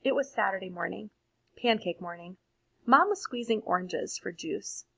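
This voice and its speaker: A woman reading a picture book aloud to children in an expressive storytelling manner, adding simple, casual explanations. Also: medium pitch, normal speed, gravelly, breathy edge. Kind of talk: read-aloud picture book narration with casual asides to young listeners.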